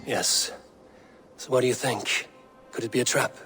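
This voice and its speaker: Game casting: a resolute Norse warrior chief, mid-range voice, grave delivery, music behind. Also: male voice, medium pitch, steady tone, bright, forceful tone. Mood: businesslike. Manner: measured, grave, plain-spoken. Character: stoic Viking clan leader, male warrior, steady and resolute.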